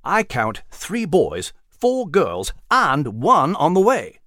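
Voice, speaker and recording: A woman's voice: sits low, huge pitch swings, slow pace, fairly bright tone, dry recording, studio quality, pitched above the performer's own voice, full character voice. A woman voicing a genteel, jovial male animal in an audiobook, with pompous, old-fashioned politeness. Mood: amused, courtly. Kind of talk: audiobook character voice.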